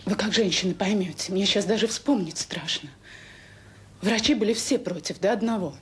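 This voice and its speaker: A woman's voice: mid-range voice, normal speed, a little hiss or hum, close to an everyday voice. An actress playing an anxious, wounded middle-aged mother in a radio drama, protective and emotional in manner. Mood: anguished pleading. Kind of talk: Soviet radio drama acting.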